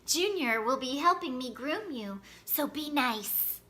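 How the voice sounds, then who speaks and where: Woman as a folksy farmer, high and bright. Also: animated, unhurried, heavily acted. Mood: instructing. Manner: folksy, encouraging. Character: friendly, good-humored male farmer.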